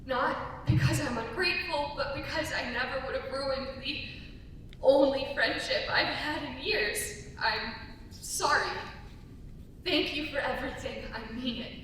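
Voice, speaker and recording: Woman playing earnest young female outcast, sharp bright voice, emotional, stage reading, distant echoey mic. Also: unhurried. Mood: hurt, regretful. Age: young adult. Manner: emotional, pleading. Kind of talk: staged dramatic script reading. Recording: very echoey hall, distant mic, hiss and rumble.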